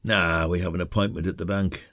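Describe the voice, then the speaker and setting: A man's voice: deep voice, fairly even intonation, a little fast, a bit bright. A man playing a blunt, ageing retired detective from the working class in a podcast drama, with a Yorkshire accent. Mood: blunt, probing. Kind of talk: podcast audio drama acting.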